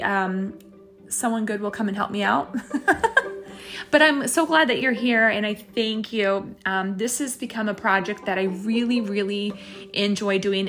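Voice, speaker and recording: Middle-aged woman, podcast host, loose rambling chat with fillers, boom mic, soft music bed. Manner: chatty and self-deprecating, with many fillers and laughs. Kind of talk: casual solo podcast chat. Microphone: boom microphone.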